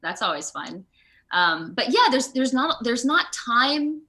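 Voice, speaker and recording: A woman who works as an actor, answering questions as a guest in a casual video interview with an animated, emphatic manner. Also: a little high, measured pace. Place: remote online video call.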